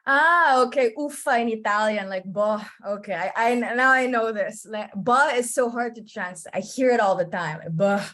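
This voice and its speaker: A woman's voice: fairly high pitch, moderate pace, hardly any pauses, fairly bright tone. Chatty woman presenter on a video call. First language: English. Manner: chatty, often checks in with "you know?" and "okay?". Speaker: webinar presenter. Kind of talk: online teaching presentation.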